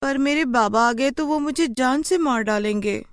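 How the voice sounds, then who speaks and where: A woman's voice: sits high, normal speed, clean tone, no room sound, clean studio sound, voice pushed up, natural voice. A woman voicing a timid, fearful young woman in a dramatized horror story. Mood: wary, fearful.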